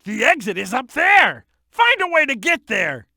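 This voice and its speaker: A woman voicing an excitable, cheeky little boy animal sidekick in a video game, with a childlike voice and eager, emphatic delivery. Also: high-pitched, a little slow, no echo, clean studio sound, full character voice. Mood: eager, instructive. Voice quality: youthful, childlike. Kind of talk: video game character hint lines.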